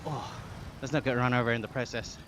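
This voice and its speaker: An upbeat young Australian man talks to his stream viewers while pedalling a workout bike, laughing easily and thanking people by name. His voice sits at an ordinary pitch. Car and street sounds from a game run clearly behind him. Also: fairly even intonation, fast talker, a bit bright, slight background noise. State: exercising on an indoor bike. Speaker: live streamer. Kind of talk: casual chat reading viewer comments.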